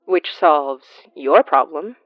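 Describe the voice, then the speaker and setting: A dry, slightly dull recording with music behind it. a wry, guarded woman in an audio drama, talking slowly and haltingly in a lowish voice. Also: dry recording. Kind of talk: naturalistic audio drama dialogue.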